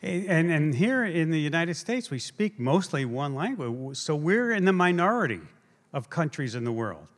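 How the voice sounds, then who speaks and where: Radio host with a higher male voice, dry sound. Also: sing-song, no echo. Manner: measured, scripted introductions. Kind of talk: hosting a live stage interview. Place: theater stage before a live audience.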